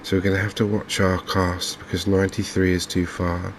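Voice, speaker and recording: A man with an English accent giving soft-spoken, hesitant, unhurried gameplay commentary in an ASMR style. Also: low-pitched. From England. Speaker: gaming video host. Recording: clear steady background noise.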